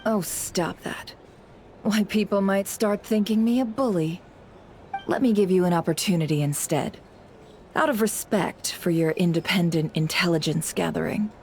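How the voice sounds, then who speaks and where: A woman playing a shrewd, teasing creditor and intel dealer in a video game, sly and coolly unhurried. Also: on the low side, darkish tone, slight background noise, close to an everyday voice.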